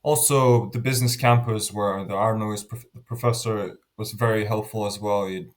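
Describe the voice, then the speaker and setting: A man in his twenties from Lithuania, answering interview questions earnestly, often restarting his sentences and trailing off softly. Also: fairly low pitch, steady tone, moderate pace. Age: 20s. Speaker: interview guest.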